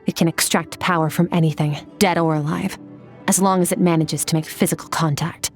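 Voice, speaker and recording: Aloof warrior lady from a game, lowish voice, blunt n condescending, bit of music under. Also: fairly even intonation, a little fast. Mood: detached, grave. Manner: detached, matter-of-fact, condescending. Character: aloof, battle-hardened otherworldly swordswoman, cool and blunt. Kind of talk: video game voice acting.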